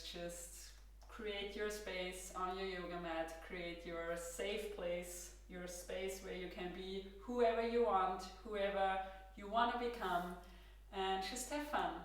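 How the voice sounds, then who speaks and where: A woman with an Austrian accent, a native German speaker, leading a guided yoga class with calm, gentle instructions. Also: mid-range voice, slow, far from the mic. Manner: calm, gentle cueing with soft laughs. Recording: roomy echo, from across the room.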